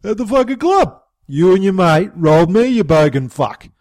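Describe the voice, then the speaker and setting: A man's voice: high-pitched, sing-song, normal speed, darkish tone, no room sound, clean studio sound, pitched above the performer's own voice. A man voicing a violent, thuggish bogan man who swears aggressively, speaking in an Australian accent.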